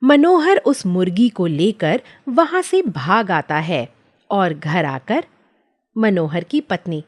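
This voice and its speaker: A woman's voice: fairly low pitch, sing-song, slow pace. A woman reading scripted children's story narration.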